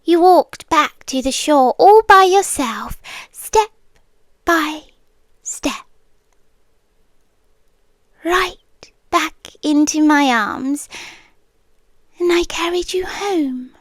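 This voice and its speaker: Woman playing a slow, hushed British siren girlfriend, possessive. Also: high-pitched, lively intonation, no room sound, full character voice. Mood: eerie tenderness. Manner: slow, hushed, dropping often into whispers. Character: centuries-old possessive siren girlfriend, tender yet menacing. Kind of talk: yandere girlfriend ASMR roleplay. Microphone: close, dry microphone.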